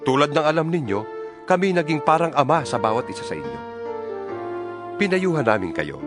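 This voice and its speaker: A man's voice: on the high side, very animated, a little fast, over music. A man with a Filipino accent giving a solemn, earnest dramatized reading of scripture as a scripted narrator.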